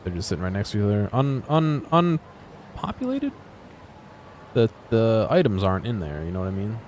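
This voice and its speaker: Low-voiced man musing over gameplay. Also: big pitch swings, moderate pace, a little mellow, slight background noise. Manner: musing, thinking aloud. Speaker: video game explorer and commentator. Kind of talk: casual unscripted gameplay commentary.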